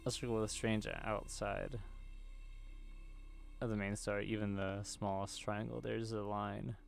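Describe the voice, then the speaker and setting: Male gamer narrating a visual novel, low-pitched with some gravelly fry, light music underneath. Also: little pitch movement, a little slow. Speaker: gaming video host. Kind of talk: reading visual novel script aloud while playing.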